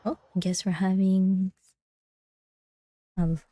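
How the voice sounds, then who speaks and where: Woman game streamer, very soft dark timbre, lowish pitch, casual reactions, close dry sound. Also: flat delivery, takes it slowly, dry recording. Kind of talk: casual playthrough, reading and reacting.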